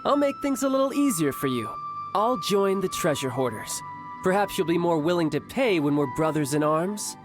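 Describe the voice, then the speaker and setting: An actor playing a charming, smug young male noble fighter who talks in a teasing, smoothly confident way. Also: high-pitched, animated, moderate pace, over music. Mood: playfully confident. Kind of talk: game voice acting.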